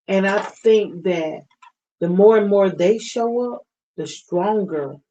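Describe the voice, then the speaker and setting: Woman, soft voice, slow, mellow, earnest, slightly dull sound. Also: fairly low pitch. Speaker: guest, parent advocate. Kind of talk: interview talk.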